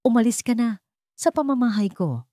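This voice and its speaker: A woman's voice: fairly high pitch, lively intonation, normal speed, warm tone, dry recording, clean studio sound, voice pushed up, natural voice. A woman voicing a bitter, defiant, hurt mother in a radio-style drama, with emphatic, accusing delivery. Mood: furious, tearful.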